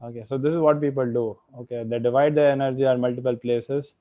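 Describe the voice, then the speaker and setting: A man's voice: mid-range voice, fairly even intonation, fast, phone-quality sound. A young man with an Indian accent giving an online lecture with slides. He keeps filling his sentences with "okay" and "means" and puts questions to the audience. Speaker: guest speaker, software engineer.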